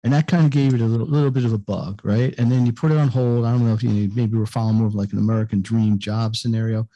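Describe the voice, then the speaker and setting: A man's voice: medium pitch, steady tone, quick pace, mellow, lo-fi sound. An older man hosting a podcast interview in an effusive, conversational way, often repeating words for emphasis. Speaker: podcast host.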